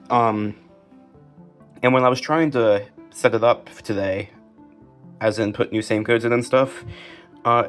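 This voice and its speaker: A young man with an American accent talks through items in a collection. His voice sits at an average pitch, and he speaks in an informal, matter-of-fact way, like a casual home presenter. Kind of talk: casual collection showcase narration.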